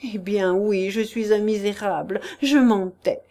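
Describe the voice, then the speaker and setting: A woman's voice: fairly high pitch, measured pace, darkish tone, close to an everyday voice. A woman reading the part of a male swindler who pleads, wheedles and begs for mercy in a classic novel. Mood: pleading. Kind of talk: audiobook character reading.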